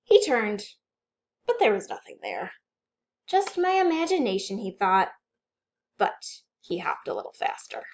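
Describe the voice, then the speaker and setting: A woman's voice: mid-range voice, huge pitch swings, a little slow, creaky. A woman working as a children's librarian, reading aloud expressively with dramatic, suspenseful delivery. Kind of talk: animated children's story read-aloud.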